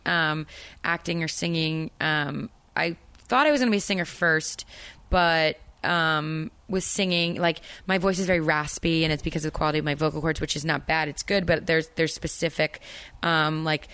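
A woman from Maryland with a low voice, an actress chatting in an interview and rambling with many fillers and restarts. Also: steady tone, moderate pace, a bit bright, smooth, dry recording. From Maryland, USA. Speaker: actress, guest. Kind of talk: casual interview chat.

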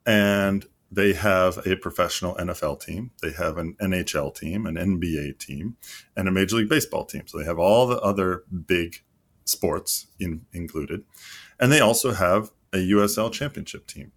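A man's voice: deep voice, normal speed. A man answering interview questions as a league marketing executive, earnest and explanatory with frequent fillers and restarts. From United States.

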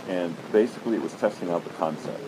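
A man with a very low, deep voice talks through stories about his exhibit, slipping into quoted dialogue as he goes. Loud background noise from a busy convention floor runs under everything. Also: fast. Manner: deep voice, storytelling with acted-out quotes. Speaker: exhibit founder, interview guest. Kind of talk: relaxed anecdotal interview.

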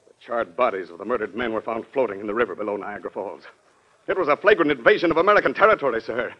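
An actor playing a dutiful, urgent military general in an old-time radio drama, reporting gravely in a formal, emphatic way. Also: male voice, medium pitch, expressive, rapid-fire. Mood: grave, indignant. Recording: dull old radio broadcast recording.

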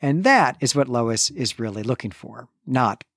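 A man's voice: mid-range voice, animated, a little slow, a bit bright, no room sound. Man co-hosting a book podcast, dry sound. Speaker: podcast co-host. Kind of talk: casual book discussion and plot recap.

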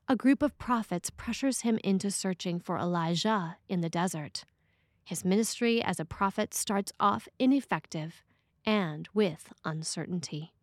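A woman's voice: mid-range voice, darkish tone, dry recording. Woman reading a sermon, dry close sound. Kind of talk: scripted sermon, read aloud.

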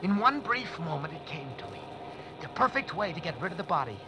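A man's voice: a little high, very animated, fairly quick, low-quality recording. A man narrating in the first person for a 1940s radio drama, tense and nervous. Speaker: narrator and lead character.

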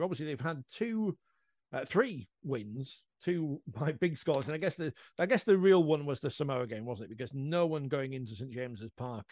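A man with a medium-pitched voice, recorded very dry. He hosts a casual sports chat with an enthusiastic, conversational delivery. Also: darkish tone, dry recording. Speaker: podcast host.

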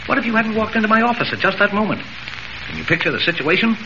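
An actor playing a gruff, sputtering middle-aged newspaper editor in a 1940s radio serial, demanding and repeating his words. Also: sits high, very animated, fast talker. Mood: alarmed. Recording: noisy, muffled vintage radio recording.

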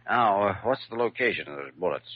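A man's voice: lowish voice, lively intonation, fast, slight background noise, close to an everyday voice. An American man acting a dedicated, courteous young hospital doctor in an old-time radio drama. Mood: earnest. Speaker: lead actor in a radio drama. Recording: dull vintage radio recording with music.

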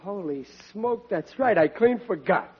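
A man's voice: high-pitched, unhurried, husky, exaggerated voice. An actor playing a goofy, forgetful middle-aged husband and father with a slangy Brooklyn accent in a vintage radio sitcom. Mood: cheerful, forgetful. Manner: blustering, slangy, excitable. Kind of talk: 1940s radio sitcom acting. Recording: muffled, very noisy vintage radio recording.

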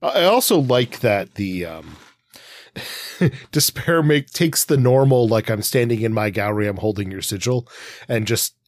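A man's voice: mid-range voice, animated, measured pace, no echo, clean studio sound. A man co-hosting a podcast, thinking aloud in winding sentences as he casually analyses a story. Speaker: podcast co-host. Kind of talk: casual analytical book discussion.

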